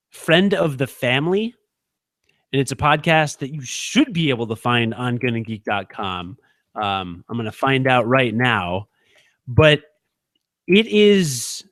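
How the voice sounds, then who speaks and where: A man at medium pitch co-hosting a casual podcast. He rambles, circles back and restarts sentences, with plenty of fillers. His voice sounds dry and close to the mic, with no room around it. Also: fairly bright tone, dry recording. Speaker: podcast co-host.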